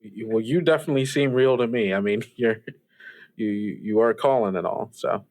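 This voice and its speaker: A man's voice: lowish voice, moderate pace, darkish tone, lightly acted. A man playing an easygoing, supportive radio DJ who talks with callers in a conversational, reassuring way. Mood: gentle, reassuring. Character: easygoing, supportive male radio DJ in a strange world. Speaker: radio call-in show host. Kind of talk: improvised radio show acting.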